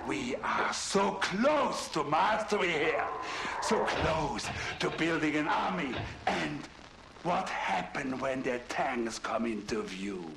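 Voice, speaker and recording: Video game villain: sadistic German mad scientist, middle-aged, obsessed. Deliberate pace, widely swinging pitch. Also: male voice, animated, gravelly, background noise, heavily acted. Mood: fanatical, grandiose. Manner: theatrical, grandiose, menacing, deliberate. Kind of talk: dramatic monologue in a video game cutscene.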